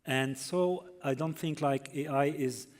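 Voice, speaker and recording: A hotel development executive on a panel, earnest and halting, often restarting his sentences. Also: on the high side. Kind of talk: panel answer. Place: conference hall.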